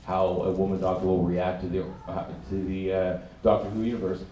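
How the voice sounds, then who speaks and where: Low-voiced man, halting, echoey hall. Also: level tone, warm tone, slight background noise. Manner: halting, repeats words, wry. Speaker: podcaster panelist. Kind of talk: convention panel talk. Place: large convention hall. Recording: echoey hall, far from mic.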